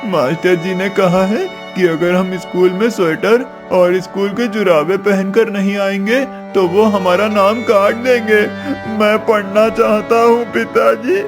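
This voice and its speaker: A small cartoon child from a poor family, put on by an adult. The voice is pushed high and whiny. Faint music plays underneath. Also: steady tone, a little slow, hardly any pauses, close to an everyday voice. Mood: pleading. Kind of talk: cartoon moral story acting.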